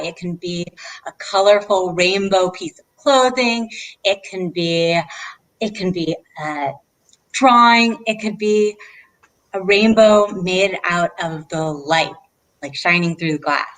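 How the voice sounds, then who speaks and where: A woman's voice: medium pitch, lively intonation, unhurried, fairly bright tone, rough recording. A woman hosting a read-aloud for children, speaking as a librarian in an encouraging way and putting questions to her listeners. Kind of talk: children's storytime chat.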